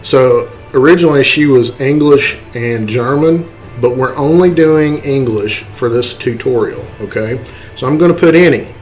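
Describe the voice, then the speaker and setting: Man giving a casual software tutorial. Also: mid-range voice, moderate pace, faint music behind, rough recording. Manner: explanatory, often checking in with "okay" and "right". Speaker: tutorial instructor.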